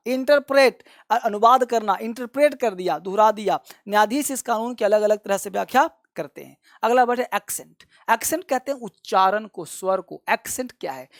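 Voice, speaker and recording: A man's voice: high-pitched, normal speed, no echo, clean studio sound. A male English vocabulary teacher who gives a classroom-style lesson, repeats each word and has his students say it after him, and calls them his children. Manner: addresses viewers fondly as children.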